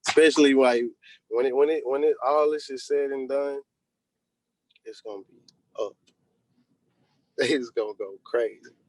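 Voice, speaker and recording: A man's voice: medium pitch, steady tone, unhurried, pauses often, darkish tone, no room sound, low-quality recording. A young man speaks in a medium register. He is a rapper giving an interview, keeping it casual and conversational with plenty of slang. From Texas, United States. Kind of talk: casual chat. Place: remote video call.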